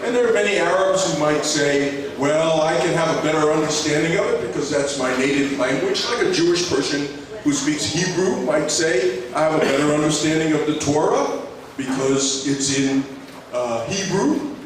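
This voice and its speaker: A man's voice: on the high side, normal speed, a crowd in the background. A man, a law professor, giving a reflective conference lecture with many fillers and restarts. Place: conference hall. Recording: echoey hall, speaker far from the mic, noticeable background noise.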